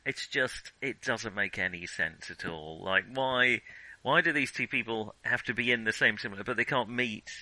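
A man's voice: medium pitch, moderate pace, bright, forceful tone, no echo, rough recording. A man co-hosting a podcast, casually discussing films in an opinionated way, often stopping to rephrase his thought. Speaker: podcast co-host.

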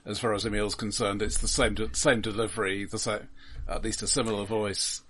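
A man with a low, husky voice talks over a film with his co-host. His points are thoughtful and measured, with the odd stammered restart. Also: level tone, fairly bright tone. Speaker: podcast co-host. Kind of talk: casual film discussion.

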